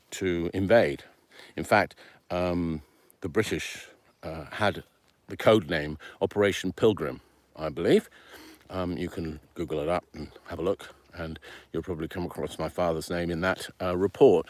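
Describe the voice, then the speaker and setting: Deep-voiced man, rambling life story. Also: no echo. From Venezuela. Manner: rambling, many ums and uhs. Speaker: channel host telling his background. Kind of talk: casual spoken life story.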